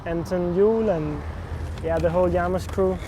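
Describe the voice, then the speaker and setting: A young man with a Danish accent, giving short, halting answers in a casual skateboarding interview. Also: sits high. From Copenhagen, Denmark. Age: young adult. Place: outdoors in the city. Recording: loud outdoor traffic noise. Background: loud traffic and city ambience.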